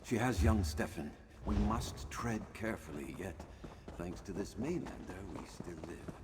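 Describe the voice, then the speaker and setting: A cautious, grave archdruid in a fantasy video game. His voice is fairly low and his delivery formal and deliberate. Loud ambience fills the background. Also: animated, heavy background noise. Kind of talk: video game dialogue acting.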